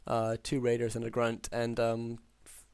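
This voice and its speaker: A man with a hoarse but smooth voice calls a strategy match on his own. He drifts through the plays with ums and quick reads, pitch barely moving. The sound is dry and close, with no room around him. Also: dry recording. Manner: steady, filler-heavy analytical play-by-play. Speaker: game caster. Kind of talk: solo esports play-by-play commentary.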